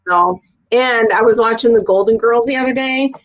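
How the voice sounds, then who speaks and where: A woman's voice: a little high, normal speed, low-quality recording. A woman in her fifties chatting casually, telling everyday anecdotes in a talkative way. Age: 50s. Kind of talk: casual chat.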